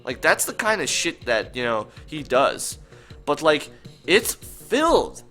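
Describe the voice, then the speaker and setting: A man's voice: on the high side, big pitch swings, slow, a bit bright, faint music behind. A young man co-hosting a casual chat, joking, swearing and slipping into mock-dramatic bits. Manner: profane, jokey, mock-dramatic outbursts. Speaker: podcast co-host.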